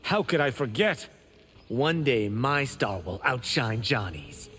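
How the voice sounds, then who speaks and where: An actor voicing a boastful, vain young monk in a video game. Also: male voice, mid-range voice, big pitch swings, slow, fairly bright tone, husky, full character voice. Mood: cocky.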